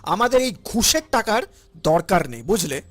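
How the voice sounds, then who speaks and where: An elderly village father, acted by a man in a moral cartoon. His voice is high and swings widely as he pleads, honest and gentle yet reproachful. Music plays underneath. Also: big pitch swings, moderate pace, full character voice. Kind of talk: cartoon voice acting.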